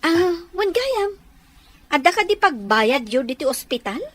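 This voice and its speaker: An actress plays a friendly elder sister-in-law in a radio drama, always ready to help. Her voice is high, and she has an Ilocano Filipino accent. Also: big pitch swings, a little slow.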